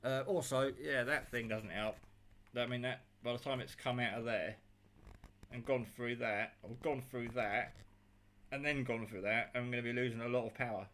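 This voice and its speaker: A man's voice: medium pitch, fairly even intonation, normal speed, fairly bright tone. A man casually narrating a hands-on gadget test, chatty and rambling, with a matter-of-fact, self-deprecating manner. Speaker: radio hobbyist demonstrating equipment. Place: indoors at a table at home. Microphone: handheld phone camera, operator off screen.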